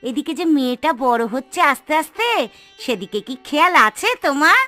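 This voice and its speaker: Shrill, nagging middle-aged aunt played by a woman. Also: lively intonation, sharp tone, faint music behind. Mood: irritated, scolding. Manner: scolding, sarcastic, drawn-out complaining. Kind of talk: cartoon voice acting.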